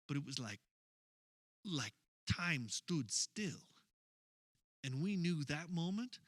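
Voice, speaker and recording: A man performs an earnest, uneasy male disciple in a radio drama. His higher-pitched, bright voice unfolds the memory slowly, halting and repeating phrases as if talking to friends. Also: no room sound, studio quality. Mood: awed, hushed. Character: earnest, uneasy male disciple of Jesus recalling the last supper. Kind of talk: dramatic first-person radio theater monologue.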